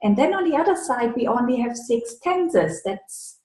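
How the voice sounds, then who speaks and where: A woman's voice: on the high side, very animated, moderate pace, a little mellow, echoey room. A woman with a German accent, an online German teacher, answering interview questions casually and explaining with examples. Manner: thoughtful, explains with examples, frequent fillers.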